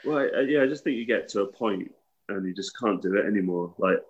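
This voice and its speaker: A man's voice: lowish voice, lively intonation, fast, husky, muffled recording. A man from the Welsh border with a Midlands English accent, a podcast guest talking casually and self-deprecatingly with many fillers. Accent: Herefordshire, West Midlands English. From England, Welsh border near Hereford. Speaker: podcast guest, tattoo artist.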